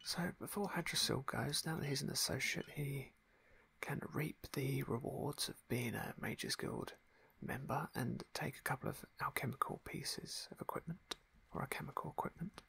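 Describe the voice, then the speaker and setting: Whispering man with a gravelly edge. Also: mid-range voice, normal speed. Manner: soft unvoiced whisper, leisurely and wry. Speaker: ASMR gaming host. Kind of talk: whispered ASMR game playthrough narration.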